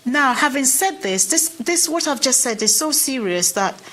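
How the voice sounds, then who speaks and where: A woman's voice: sits high, animated, moderate pace, a bit bright. A Ghanaian woman with a Ghanaian accent making an earnest, impassioned address to viewers, pleading and repeating phrases for emphasis. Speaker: commentator. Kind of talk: impassioned direct-to-camera address.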